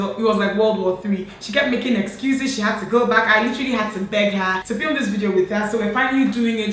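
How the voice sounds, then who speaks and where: A woman in her late twenties from Nigeria, hosting a casual sister tag chat with animated, laughing talk, filmed seated at home. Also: medium pitch. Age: late 20s. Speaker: beauty vlogger host. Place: bright home room, indoors. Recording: echoey room with faint noise.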